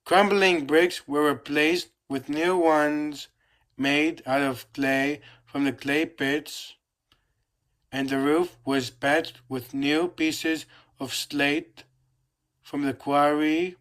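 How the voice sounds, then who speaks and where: Slow-paced storybook narrator. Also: mid-range voice, steady tone, a bit bright. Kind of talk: scripted storybook read-aloud narration.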